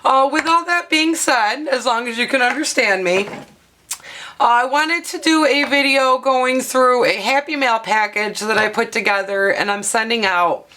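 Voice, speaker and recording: Woman with a scratchy worn-out voice, kinda high and bright, rambling thru craft supplies at her table. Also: moderate pace. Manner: chatty and explanatory, with frequent ums and uhs. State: hoarse, losing her voice. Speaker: craft vlogger. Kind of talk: casual show-and-tell craft tutorial.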